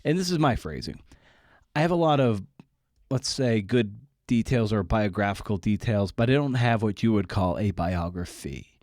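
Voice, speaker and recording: A man's voice: fairly low pitch, animated, normal speed, darkish tone, no room sound, clean studio sound. A man hosting a podcast, talking informally in a candid, self-deprecating way. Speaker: podcast host.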